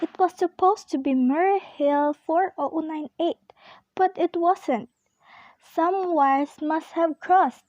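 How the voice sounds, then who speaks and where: A woman's voice: extremely high pitch, slow pace, darkish tone, dry recording, lo-fi sound. A woman in an amateur radio play acting an anxious, demanding invalid wife, fretful and imperious, stammering at times. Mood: agitated.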